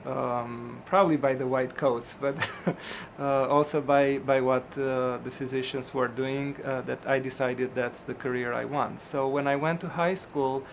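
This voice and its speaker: Hesitant gravelly man. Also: mid-range voice, fairly even intonation, a little slow, slight background noise. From Romania. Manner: hesitant, many ums and restarts. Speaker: physician. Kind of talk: career interview answers.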